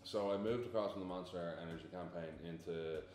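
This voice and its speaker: A man with a very low voice speaks in a measured, composed way about his work. He is interviewed in an office where his voice bounces off the walls. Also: a little mellow, faint music behind. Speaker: training manager. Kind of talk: recruitment video interview. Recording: echoey office room.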